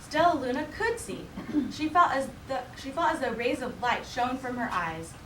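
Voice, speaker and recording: A woman's voice: sits high, normal speed. Woman reading aloud in an echoey room. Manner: animated, acts out character dialogue. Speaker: teacher reading aloud to a class. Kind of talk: expressive picture-book read-aloud. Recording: roomy, distant mic with background noise.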